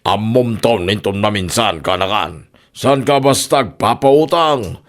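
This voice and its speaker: A man's voice: on the low side, expressive, measured pace. A man with a Filipino Ilocano accent playing a nosy uncle giving advice, in a comedy radio drama. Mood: admonishing. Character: nosy, advising uncle of the lending neighbour.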